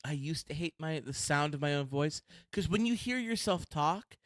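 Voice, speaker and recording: A young man co-hosts a podcast in loud, chatty banter. His higher voice swings widely in pitch, and the recording is dry and close. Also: expressive, moderate pace, no room sound. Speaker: podcast co-host. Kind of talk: casual chat.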